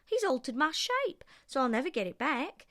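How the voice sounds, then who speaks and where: A woman's voice: high-pitched, very animated, moderate pace, a bit bright, voice pushed up, heavily acted. A woman voicing a tired, aggrieved old male kangaroo in a storybook, plaintive and emphatic. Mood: indignant. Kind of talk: storybook character voice.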